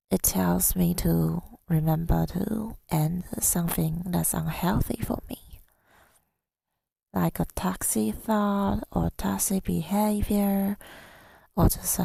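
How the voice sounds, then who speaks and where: A woman speaks very slowly in a soft, intimate ASMR murmur, with heavy vocal fry through her words. Her voice is mellow and affectionate, husky and often near a whisper. She is close to the mic, as if confiding in a partner. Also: on the low side, no echo. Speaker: ASMR audio creator. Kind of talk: intimate ASMR girlfriend roleplay, telling about the day. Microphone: close microphone, dry sound.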